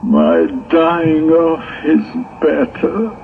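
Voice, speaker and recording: An actor playing an aggrieved, self-pitying Hebrew prophet in a 1960s religious audio drama, with theatrical, drawn-out sighs and moans. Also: male voice, a little high, big pitch swings, creaky, heavily acted. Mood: anguished, fainting. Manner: theatrical, drawn-out, sighing and moaning monologue. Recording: tinny, noisy 1960s tape recording.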